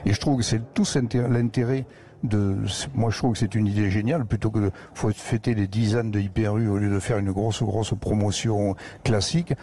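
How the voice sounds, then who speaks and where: A man's voice: fairly low pitch, fairly even intonation, fairly quick, dark tone. A man with a Lozère accent, an agricultural educator telling rambling anecdotes as a guest in a live radio interview broadcast from a museum. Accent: Lozère, southern France.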